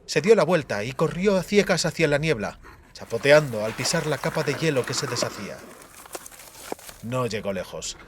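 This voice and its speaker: A man's voice: mid-range voice, expressive, moderate pace, noisy background. A man narrating a fantasy audiobook in a dramatic, scripted style.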